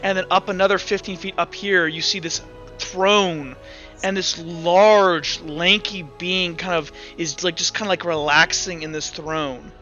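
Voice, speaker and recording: A man speaking as game master, improvising narration in an informal, halting way with repeated and restarted phrases. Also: sits high, fairly even intonation, sharp tone. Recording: muffled online voice call with background music.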